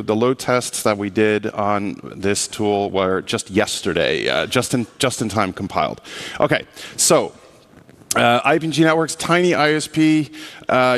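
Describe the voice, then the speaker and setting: Dutch man giving a relaxed tech talk. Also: medium pitch. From Netherlands. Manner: casual, joking, quick asides. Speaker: conference speaker. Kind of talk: technical conference talk. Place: conference stage.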